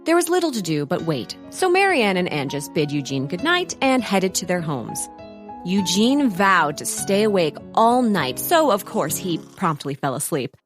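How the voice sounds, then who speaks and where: A woman playing a wry storyteller, narrating a comic audio mystery in a deadpan, measured way. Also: on the low side. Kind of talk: scripted narration. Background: music.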